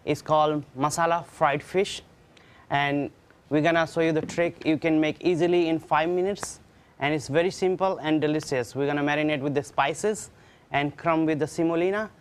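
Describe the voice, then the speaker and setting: A man's voice: fairly high pitch, steady tone, normal speed, clear, resonant tone. A man in his thirties, a chef, walking through a recipe in non-native English with broken grammar, recorded on a studio kitchen set with a lapel mic. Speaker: restaurant chef. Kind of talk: cooking demonstration on a TV show.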